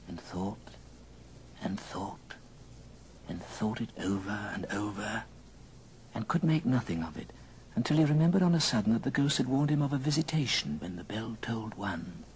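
A man narrating a story in an old radio drama, measured and theatrical. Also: lowish voice, a little hiss or hum, rough recording. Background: music.

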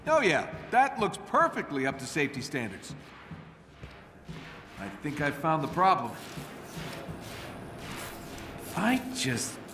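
A cocky American inventor hero from a video game. His voice is fairly high for a man and very animated, with flippant, sarcastic delivery. There is faint noise behind him. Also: a little slow. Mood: breezy, joking. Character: wisecracking cocky male genius inventor hero. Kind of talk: game cutscene acting.

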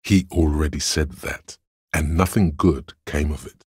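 Very deep, raspy voice of logic. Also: male voice, fairly even intonation, darkish tone, dry recording, exaggerated voice. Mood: coolly coaching. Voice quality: very deep, gravelly rasp. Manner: measured, deliberate, analytical, dry. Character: calm, cerebral voice of Logic inside a detective's mind. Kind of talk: video game inner-voice narration.

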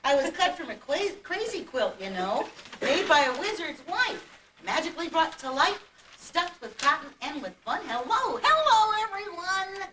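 A woman's voice: extremely high pitch, very animated, normal speed. A woman playing a giddy, nonsensical rag-doll girl in a children's puppet play, playful and full of exclamation. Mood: gleeful. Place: puppet show stage in a convention room. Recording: echoey, noisy distant camcorder recording.

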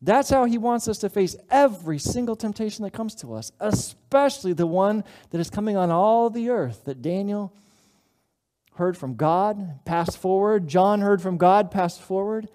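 A middle-aged man preaching a sermon as pastor, earnest and conversational, with dramatic pauses. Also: sits high, animated, mellow. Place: church sanctuary platform.

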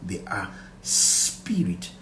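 A middle-aged Nigerian man leading a live Bible study as pastor, reading verses and explaining them emphatically and deliberately, with repeated phrases and rhetorical questions. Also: deep voice, very animated, fairly bright tone. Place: small indoor room. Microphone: phone at arm's length. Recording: echoey room, faint background noise.

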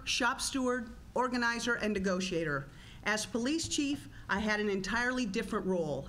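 A woman in her sixties giving a steady, formal candidate speech into a table mic. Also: medium pitch, slight background noise. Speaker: political candidate.

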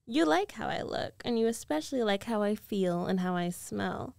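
A woman's voice: mid-range voice, lively intonation, moderate pace, creaky, darkish tone, dry recording, studio quality. A woman playing a confident, possessive rich ex-girlfriend in an ASMR roleplay, coaxing and teasing in a self-assured way. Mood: insistent, possessive.

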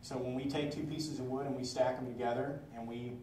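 A man's voice: medium pitch, little pitch movement, a little fast, warm tone. A man giving a plain, explanatory talk on car fabrication in a large conference room. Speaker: fabrication instructor. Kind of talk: trade seminar talk. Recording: roomy distant camera sound with background noise.

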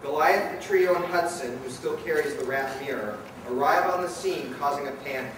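A man's voice: fairly high pitch, normal speed. A man reading scripted narration for a radio play staged live. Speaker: narrator and director. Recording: very echoey hall, far mic, noisy.

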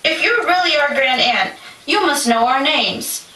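Woman playing a very high, singsong little girl. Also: lively intonation, unhurried, pushed and bright, heavily acted. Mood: wary. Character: wary, obedient little girl, an elder sister. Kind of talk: puppet show stage acting. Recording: distant echoey room, faint noise.

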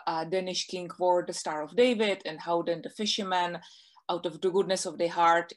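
A woman in her forties with a Czech accent and a lowish voice speaks thoughtfully as a guest historian. She talks on a video call from a home study, articulate and animated with her hands. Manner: thoughtful, articulate, gestures while talking. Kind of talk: webinar interview.

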